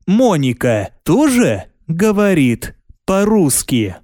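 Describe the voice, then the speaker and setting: A man reading a language lesson aloud, pronouncing each word deliberately and clearly. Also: high-pitched, big pitch swings, warm tone, no echo, clean studio sound. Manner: carefully spaced, deliberate pronunciation. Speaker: language teacher.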